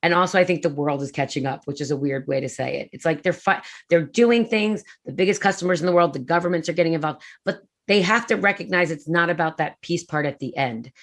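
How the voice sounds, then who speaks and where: Casting: businesswoman, deep-set voice, chatty and animated, many fillers. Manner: fast, animated, filler-heavy. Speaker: prefab industry executive. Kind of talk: conference talk conversation.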